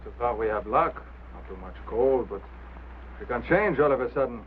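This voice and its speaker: An actor playing a stubborn, put-upon road crew foreman with a French Canadian accent and halting phrasing, in mid-century screen drama style. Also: medium pitch, expressive, phone-quality sound, lightly acted. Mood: wry, grumbling. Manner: halting, non-native phrasing. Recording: thin, noisy old film soundtrack.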